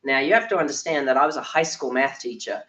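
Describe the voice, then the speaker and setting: An older woman from Australia giving a chatty conference workshop talk, her voice croaky and low from a cold, often clearing her throat. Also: fast, fairly bright tone. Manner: chatty, self-deprecating, frequent ums and throat clearing. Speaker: workshop speaker. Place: echoey conference room. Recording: roomy, distant, dull recording.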